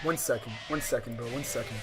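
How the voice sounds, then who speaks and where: Male game streamer, mid-pitched, slangy and profane, exclamatory and repetitive, clear music bed behind him. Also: fast. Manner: repeats phrases insistently. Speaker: livestreamer reacting to a game. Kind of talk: casual livestream commentary mixed with reading game text aloud. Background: background music, clearly heard.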